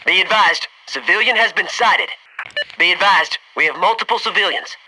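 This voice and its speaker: An actor playing a cocky, keen young military squadmate in a video game, giving short clipped combat callouts. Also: male voice, high-pitched, normal speed, noisy background, natural voice. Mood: businesslike.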